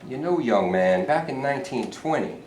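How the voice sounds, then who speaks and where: A man's voice: mid-range voice, lively intonation, normal speed, a little mellow, natural voice. An actor in a staged reading playing a sly, evasive state governor who talks down to his visitors. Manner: evasive, patronizing, mock-genial. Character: sly smooth-talking male state governor. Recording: echoey room, mic at a distance, faint hiss.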